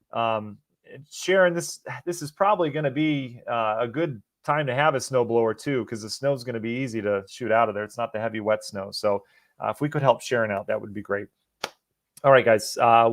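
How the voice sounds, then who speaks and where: Male TV weatherman, mid pitch, slightly hoarse and sniffly, relaxed live Q&A, dry close sound. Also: no room sound. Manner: easygoing, chatty, answers viewers by name. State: losing his voice, a bit sniffly. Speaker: meteorologist. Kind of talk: live-stream viewer Q&A on the weather.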